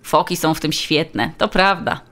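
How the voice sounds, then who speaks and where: A woman's voice: sits low, a little slow, fairly bright tone, pitched above the performer's own voice. A woman voicing a helpful, polite female tour guide who explains things in a children's audiobook. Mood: explaining.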